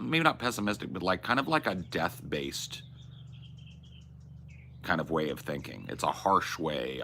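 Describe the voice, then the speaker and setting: A man's voice: rumbling low, creaky, bright, forceful tone, slight background noise. A man hosting a casual livestream, rambling and swearing as he reads chat messages and muses aloud, often restarting his sentences. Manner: rambling, profane, restarts and self-corrections. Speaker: streamer host.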